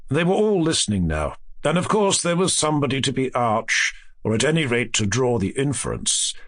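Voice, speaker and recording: A man's voice: mid-range voice, very animated, moderate pace, fairly bright tone. A man reading a classic ghost story as scripted literary narration for an audiobook.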